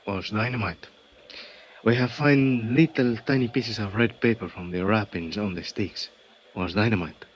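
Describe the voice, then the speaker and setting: An actor playing an earnest Mexican official who speaks halting, Spanish-accented English full of "uh" fillers, in a 1950s radio drama. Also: male voice, lowish voice, close to an everyday voice. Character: earnest Mexican male official at a crash site. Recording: dull old radio transcription with faint hiss.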